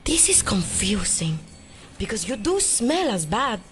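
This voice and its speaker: An actress playing a brash, sarcastic young hacker, snappy and blunt, in an audio drama. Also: female voice, medium pitch, big pitch swings, measured pace, faint music behind. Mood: threatening, wary.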